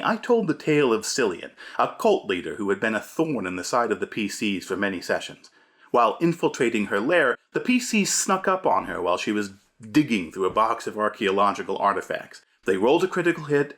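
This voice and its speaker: Animated man giving gamemastering advice. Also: medium pitch. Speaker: host, game master giving advice. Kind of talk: scripted video essay on tabletop gamemastery.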